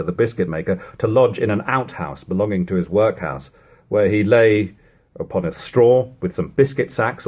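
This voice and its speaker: A man reading an old novel aloud as an amateur audiobook narrator, in measured, formal storytelling with expressive delivery, on a lo-fi home recording. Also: on the low side.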